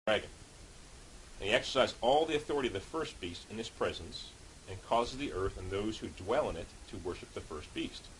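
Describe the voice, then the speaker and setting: A man's voice: lowish voice, expressive, brisk. A man preaching as a street preacher, reading Bible passages and explaining them in an informal teaching way. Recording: hissy background noise.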